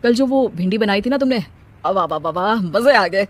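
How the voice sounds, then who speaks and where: A woman's voice: medium pitch, expressive, moderate pace, natural voice. Woman doing a young husband in a cartoon, sarcastic, teasing, kinda fed up. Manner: sarcastic, exasperated.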